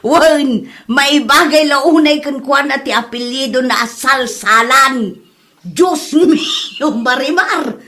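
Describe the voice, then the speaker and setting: A woman's voice: high-pitched, slow, sharp tone, exaggerated voice. A woman with an Ilocano Filipino accent playing a mocking, giggly mother and auntie in a comedy drama, exclaiming and laughing through her words. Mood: gleeful teasing.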